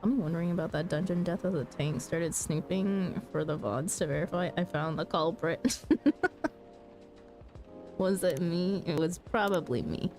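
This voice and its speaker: A woman's voice: lowish voice, a little slow, a little mellow, faint music behind, poor audio. A woman streamer in casual chat while gaming, laid-back, laughing often and swearing freely.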